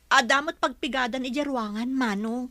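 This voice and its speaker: A woman's voice: sits high, moderate pace, pushed and bright, dry recording. A woman with an Ilocano accent playing a put-upon, weary woman in a family radio drama. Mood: aggrieved.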